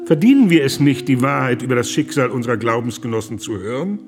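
Male actor as indignant pastor, radio play, mid-to-high male voice, grave emphatic delivery, formal, music underneath. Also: animated, fast, lightly acted. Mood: ashamed, outraged. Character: earnest, indignant German pastor pleading urgently, male. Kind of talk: radio drama acting.

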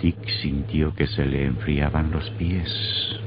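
A man's voice: extremely low pitch, steady tone, slow pace. A male actor narrating a vintage radio horror drama in a grave, ominous, scripted style. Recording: muffled, very noisy old radio recording.

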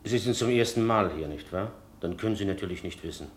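An actor playing an obliging but secretive café landlord in a German radio drama, formally courteous in manner. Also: male voice, lowish voice, quick pace, slight background noise, lightly acted. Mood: cryptic, grave. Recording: roomy echo, faint background ambience.

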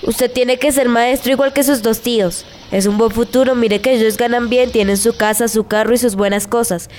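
A woman's voice: fairly high pitch, fairly even intonation, moderate pace, a little mellow, a little hiss or hum, close to an everyday voice. A woman with a Colombian accent playing an insistent, firmly persuading mother in a radio story. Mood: insistent, nagging. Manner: firm, persuading. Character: insistent mother pushing her child toward a teaching career.

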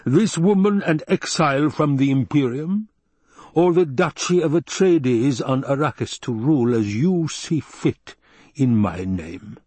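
A man voicing an obese, sinister male baron with a rumbling basso voice, slow and taunting, in an audiobook. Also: animated, darkish tone, no echo. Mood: gloating. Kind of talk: audiobook character voice.

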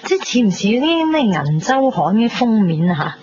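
A woman's voice: fairly high pitch, sing-song, moderate pace, noisy background. Dramatic, bubbly young Hong Kong woman. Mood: chatty, eager. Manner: chatty, dramatic, many exclamations and particles. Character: bubbly, vain young woman fretting over party outfit. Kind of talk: 1990s radio drama acting. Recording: muffled 1990s radio broadcast under music.

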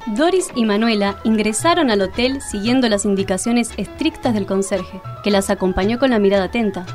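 A woman's voice: medium pitch, a little fast, darkish tone. A woman reading scripted narration for a radio drama. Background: music.

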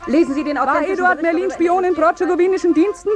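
Over clear music, a high-pitched tabloid crier proclaims sensational headlines at breakneck speed. The delivery is urgent, hyped and declamatory, in the manner of satirical 1950s radio. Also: very fast.

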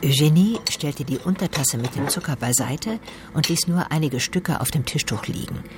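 A woman's voice: sits low, normal speed, noisy background. A woman narrating a literary radio drama.

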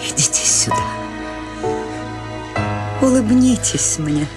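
An actress playing a serene, whimsical eighty-year-old woman in a radio play, talking in a calm, gently amused way. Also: fairly high pitch, takes it slowly, loud music behind the voice. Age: 80s.

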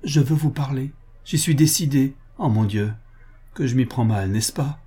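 A man's voice: mid-range voice, normal speed, warm tone, breathy edge, voice pushed up, lightly acted. A man voicing a proud, passionate society lady in love, with emphatic, pleading delivery, in a dramatic reading of a novel. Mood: desperate, pleading.

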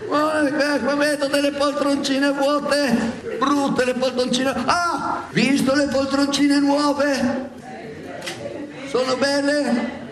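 A pompous comic pope holds forth on stage in a very high voice, repeating phrases for the flock to echo. The pace is unhurried and scolding. The theatre rings around him, with an audience clearly present. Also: male voice, flat delivery, a crowd in the background, full character voice. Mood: gushing, mocking. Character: preening, scolding comic Pope Pius XII addressing his flock. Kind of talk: live satirical stage comedy.

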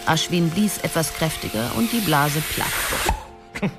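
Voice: medium pitch, slow, natural voice. A narrator telling a radio play in a scripted storybook style. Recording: loud music behind the voice.

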